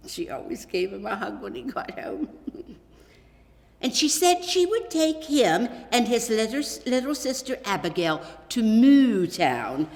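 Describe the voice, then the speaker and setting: A woman reading a children's Christmas story aloud as scripted narration, in an expressive, deliberate way with dramatic pauses. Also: medium pitch. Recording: echoey hall with long reverb.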